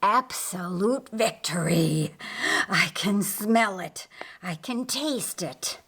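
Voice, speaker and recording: A woman's voice: medium pitch, lively intonation, fairly bright tone, slightly rough, full character voice. Woman voicing a gloating, menacing winged Lucifer. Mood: triumphant scorn. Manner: menacing, savoring drawn-out words. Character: gloating, bloodthirsty male winged Lucifer, proud and cruel.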